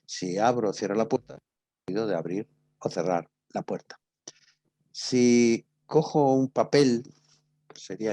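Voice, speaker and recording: A middle-aged man with an accent from Spain lecturing informally, explaining with examples, repeating phrases and pausing to stress key words. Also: low voice, noisy background. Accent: Spanish from Spain. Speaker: university lecturer in radio drama.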